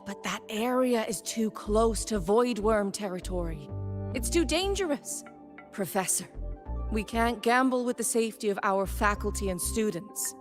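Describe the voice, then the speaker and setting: A woman's voice: mid-range voice, lively intonation, normal speed, close to an everyday voice. A woman voicing a stern, dutiful female academy staff member in an anime-style video game, businesslike and reproving. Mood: concerned. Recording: music behind.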